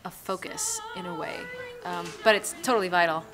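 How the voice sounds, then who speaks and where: A woman's voice: lowish voice, huge pitch swings, moderate pace. A woman in her twenties, a stage actress, chatting casually in an interview. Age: 20s. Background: music.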